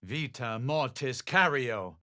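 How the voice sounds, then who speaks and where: A man playing a haughty, commanding spellcaster in a video game, declaiming incantations fiercely and forcefully. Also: medium pitch, expressive, slow.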